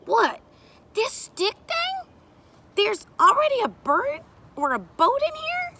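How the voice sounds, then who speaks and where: A naive little storybook boy, voiced by a woman reader, all wide-eyed eagerness. His folksy voice sits very high and leaps around animatedly, with faint background noise. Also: wildly swooping pitch, takes it slowly, sharp tone, exaggerated voice. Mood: puzzled. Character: Dallas, excitable naive clumsy young boy.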